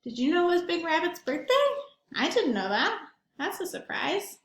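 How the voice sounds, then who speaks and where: A high-pitched woman reads a children's picture book in an echoing room. She is animated and emphatic, and she turns to her young listeners with simple questions as she goes. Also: normal speed. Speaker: read-aloud storyteller for young children. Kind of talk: expressive picture-book read-aloud with asides to children.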